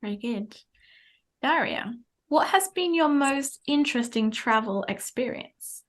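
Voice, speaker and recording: Young woman English teacher playing examiner, upbeat, clear, encouraging, somewhat high voice. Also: lively intonation, unhurried. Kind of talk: mock speaking exam, teacherly.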